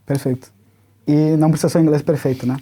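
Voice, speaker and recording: A man's voice: a little high, fairly even intonation, mellow, husky. A man with a Brazilian accent hosting a casual panel, moderating and asking questions. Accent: Brazilian Portuguese. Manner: quick, chatty, moderating. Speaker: host.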